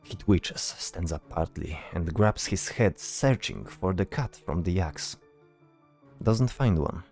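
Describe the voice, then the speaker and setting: Deep-voiced man narrating a fantasy story. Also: animated, slow pace, a little mellow, faint music behind.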